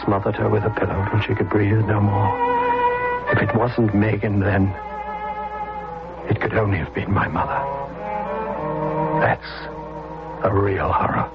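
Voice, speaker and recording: Haunted old man, low slow voice, halting, guilt-ridden, radio drama, loud music behind. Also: huge pitch swings, dull audio, exaggerated voice. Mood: tormented, confessing. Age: older. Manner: halting, brooding monologue.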